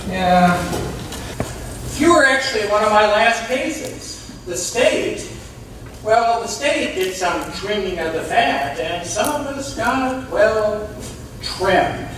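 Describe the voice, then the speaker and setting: Heard from far off in a noisy, echoing theatre, a man plays a fatherly former parole officer. His high, lively voice is casual and encouraging, with a wry, blunt streak. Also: takes it slowly. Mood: blunt, downbeat. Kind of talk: community theatre stage acting. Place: indoor theatre stage, mic far off. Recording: distant, very echoey stage recording with clear noise.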